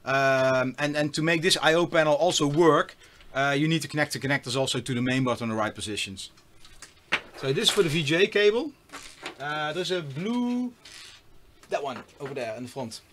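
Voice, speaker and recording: A man's voice: on the high side, lively intonation, normal speed, fairly bright tone, a little hiss or hum. A middle-aged man with a Dutch accent, chatting casually and rambling with many fillers while building a computer. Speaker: host.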